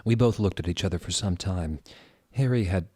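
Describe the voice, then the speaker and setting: A man with a fairly low voice reads fan fiction aloud as comedy. His delivery is theatrical and sardonic, and he drops out of the story for asides. The recording is close and dry. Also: brisk, dark tone, no echo. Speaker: reader. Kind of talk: mock-dramatic comedic reading of fan fiction.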